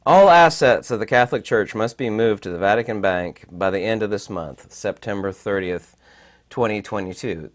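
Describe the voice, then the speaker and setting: Raspy hoarse guy, kinda low voice, rambling on to his viewers, punching words for emphasis. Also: moderate pace. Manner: emphatic, rambling, with frequent fillers. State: hoarse, recovering from illness. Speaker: channel host. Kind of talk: casual commentary to camera.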